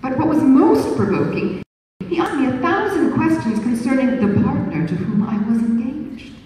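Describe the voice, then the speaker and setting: Woman reader at a book launch, expressive and measured, lively pitch, echoey hall, distant mic. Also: a crowd in the background. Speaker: author reading her book. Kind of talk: reading a period novel aloud at a book launch.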